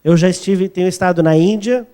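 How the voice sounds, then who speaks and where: Mellow, high-voiced Brazilian male interpreter. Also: a little fast, clean tone. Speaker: sermon interpreter. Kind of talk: consecutive interpretation of a sermon.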